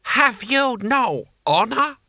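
Guy doing a haughty raging warlord for an audiobook, high shouty voice, sharp and imperious. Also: animated, measured pace, dry recording, clean studio sound, exaggerated voice. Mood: outraged. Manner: imperious, screaming. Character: furious, haughty warlord lord shouting orders.

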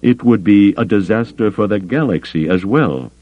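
A man's voice: fairly low pitch, normal speed, narrow, tinny audio, pitched above the performer's own voice, lightly acted. A man voicing a furry male alien counselor, formal and pompous, in an audiobook story. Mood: urgent, grave. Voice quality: somewhat melodious.

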